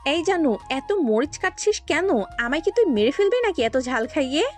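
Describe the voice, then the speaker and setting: A woman plays a cheeky, bantering young Bangladeshi best friend in an audio drama. Her high voice swings with animated melody as she talks in slangy mock complaint. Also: normal speed, soft background music.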